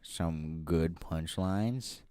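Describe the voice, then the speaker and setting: A man's voice: sits low, drawn-out words, warm tone, clear, resonant tone, no room sound, studio quality. A young man co-hosting a podcast, joking and swearing casually into a desk mic. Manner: swears a lot, jokey, playful. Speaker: podcast co-host. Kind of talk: casual chat.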